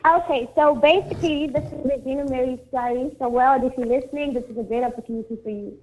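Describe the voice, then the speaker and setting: A woman's voice: high voice, quick pace, a little mellow, faint music behind. A woman raised in South Africa, an actress and motivational speaker, introducing herself chattily as a phone-in guest. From born in Zambia, raised in South Africa. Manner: chatty, loose, says "basically" often. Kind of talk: self-introduction on a radio call. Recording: dull phone line.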